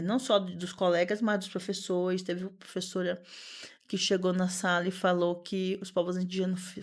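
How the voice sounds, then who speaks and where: A woman in her thirties speaking as a podcast guest, earnest and animated, often repeating words and using fillers as she talks. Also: lowish voice. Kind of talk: conversational interview.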